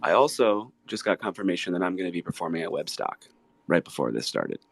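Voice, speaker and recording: A man's voice: deep voice, sing-song, brisk, vocal fry. Earnest man thanking listeners casually. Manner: earnest, grateful. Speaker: guest, independent musician. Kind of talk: casual heartfelt talk.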